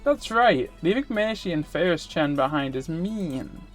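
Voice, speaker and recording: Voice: medium pitch, lively intonation, measured pace, faint music behind, voice pushed up, close to an everyday voice. A streamer voicing a perky, cutesy young female cat maid from a visual novel. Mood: sweet, eager. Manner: cutesy, with cat sounds in speech.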